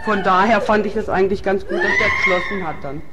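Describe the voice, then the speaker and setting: A woman's voice: medium pitch, fast, a loud crowd. A woman in casual chat, explaining a matter in a plain way.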